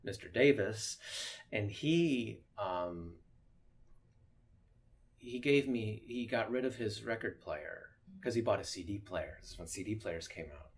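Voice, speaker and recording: Lowish-voiced man telling stories casually. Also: expressive, a little slow. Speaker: musician interviewee. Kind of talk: casual interview storytelling.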